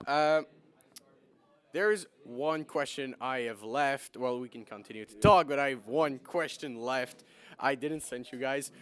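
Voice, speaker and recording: A man's voice: medium pitch, unhurried, a bit bright, smooth. A man with a Québécois French accent interviewing guests in English, a native French speaker. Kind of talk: casual interview.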